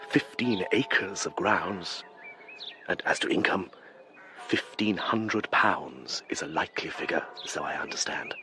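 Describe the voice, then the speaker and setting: Scheming English clergyman, low bright forceful voice, savouring his ambition, faint music, radio drama. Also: expressive, a little slow, heavily acted. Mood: covetous, scheming. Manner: calculating, savouring, self-important.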